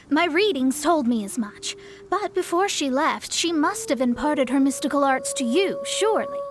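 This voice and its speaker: A woman's voice: high voice, sing-song, measured pace. A young woman voicing a proud female astrologist in a game cutscene, poised and lofty with a slightly condescending manner. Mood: exasperated. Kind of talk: video game cutscene voice acting. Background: soft game music.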